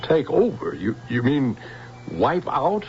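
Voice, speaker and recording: An actor playing a wary, courteous old scientist in a radio drama, hesitant and evasive in manner. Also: male voice, medium pitch, huge pitch swings, takes it slowly, darkish tone, exaggerated voice. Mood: wary, resisting. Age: older. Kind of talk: American old-time radio drama acting. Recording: muffled vintage radio broadcast, faint hiss.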